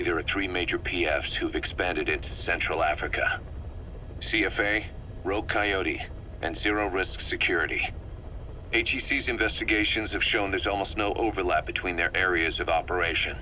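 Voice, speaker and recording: A blunt mercenary commander. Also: male voice, low voice, fairly even intonation, bright, forceful tone, slight background noise, rough recording. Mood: briefing. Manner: brisk, direct, blunt warnings. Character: gruff male mercenary commander giving a briefing. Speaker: commander giving a briefing. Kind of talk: video game voice acting.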